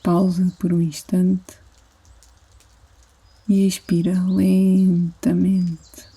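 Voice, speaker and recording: A woman with a European Portuguese accent guides a sleep meditation as a yoga instructor, soothing and gentle, with long pauses between phrases. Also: on the low side, breathy edge.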